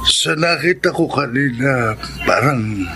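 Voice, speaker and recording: Sorrowful old grandfather, Filipino accent, creaky voice, very slow halting delivery, radio drama, music underneath. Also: medium pitch, animated. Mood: remorseful, pleading. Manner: halting, plaintive. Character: remorseful old grandfather, humble, sorrowful, once unfaithful. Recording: music bed behind the voice.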